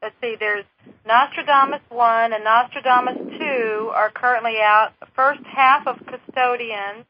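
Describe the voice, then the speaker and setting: A woman with a fairly high voice talks matter-of-factly as co-host, and she is quick to agree with the others. The sound is a muffled, dull radio broadcast. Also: fairly even intonation, slight background noise. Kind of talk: casual radio talk.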